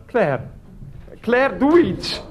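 An actor playing a flustered, ineffectual head of a household, hesitant, wordy and placating, in a satirical radio play. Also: male voice, very high voice, very animated, drawn-out words, background noise, exaggerated voice.

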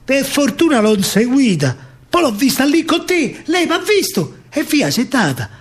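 A cheeky male soldier with a Roman accent, acted out by a man on stage tells of his survival in colloquial verse. He is jaunty and boastful, with lively swings of pitch and an unhurried pace. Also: exaggerated voice. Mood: cheeky, relieved.

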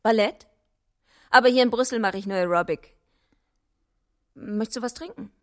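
A woman's voice: fairly high pitch, moderate pace, fairly bright tone, close to an everyday voice. An actress playing a casual, offhand young woman in a radio play, speaking in short everyday phrases. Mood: offhand, reluctant. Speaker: radio drama actor.

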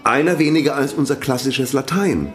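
An actor playing a zealous, earnest 19th-century German village priest in a declamatory radio play style. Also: male voice, medium pitch, moderate pace, lightly acted. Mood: earnest, insistent. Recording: long hall reverb, music behind.

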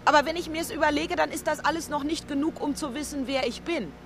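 High-voiced, restless little lizard. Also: female voice, fast talker, faint music behind. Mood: searching. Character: small red winged lizard, young, restless female fighter. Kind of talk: radio drama acting.

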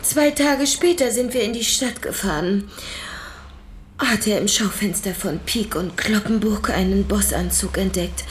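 A woman's voice: mid-range voice, a little slow, slight background noise, natural voice. An actress playing an overwrought, theatrical woman under police questioning in a radio drama, with a melodramatic delivery. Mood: disappointed.

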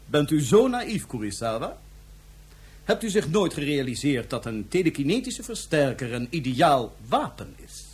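A man's voice: fairly high pitch, sing-song, moderate pace, breathy edge, exaggerated voice. An actor playing a cold, sarcastic, calculating man of power in a science fiction radio drama, lecturing formally and with condescension. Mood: cool condescension.

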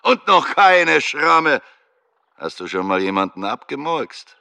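An actor playing a cruel, sadistic SS soldier in a German radio drama, taunting and condescending in a drawn-out way. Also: male voice, on the high side, big pitch swings, gravelly, sharp tone, smooth, no room sound, exaggerated voice.

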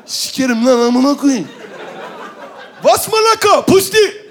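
A man voicing a hot-headed young street tough who shouts taunts and swears. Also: very high voice, big pitch swings, a little slow, pitched above the performer's own voice, full character voice. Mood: taunting.

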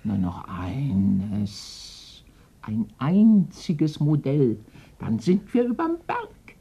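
A woman's voice: very deep voice, sing-song, drawn-out words, dark tone, breathy edge, full character voice. An actress playing a domineering, eccentric old woman in her eighties, vengeful and wistful, coaxing and commanding theatrically in a German radio play. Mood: coaxing, grandiose. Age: older.

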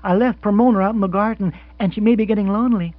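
A gushing, effusive wealthy lady guest, as a male audiobook reader puts her on. The husky voice rises high and bubbles along. The recording sounds dry and dull. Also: steady tone, quick pace, dry recording, natural voice. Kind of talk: audiobook character voice.

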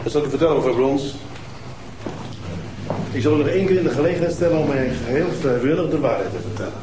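An actor playing a stern police detective who questions suspects insistently. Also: male voice, on the high side, animated, fast, noisy background, lightly acted. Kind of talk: TV crime drama acting. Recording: roomy TV soundtrack with music.

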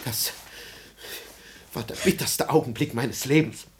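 An actor playing a self-pitying, middle-aged wronged husband in a radio play, declamatory and wounded. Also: male voice, mid-range voice, measured pace, lightly acted. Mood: dejected, hesitant. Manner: declamatory, melodramatic. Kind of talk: classic German radio play acting.

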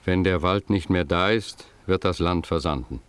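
A man acting a grave, admonishing village pastor in a German radio play, measured, solemn and formal in his delivery. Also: low voice, a bit bright, smooth. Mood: grave, warning.

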